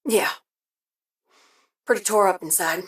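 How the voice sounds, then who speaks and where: A woman's voice: fairly low pitch, unhurried, lightly acted. An actress playing a plain-talking, worn-down but friendly woman in a game, speaking informally and folksily. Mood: weary, frayed. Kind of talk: naturalistic game voice acting. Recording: echoey, slightly distant voice.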